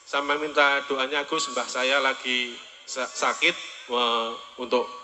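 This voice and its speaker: Polite man over loud stage music. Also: medium pitch, level tone, slow pace. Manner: polite, formal. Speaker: audience member. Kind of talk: formal requests for prayer. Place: large outdoor gathering. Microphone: handheld mic.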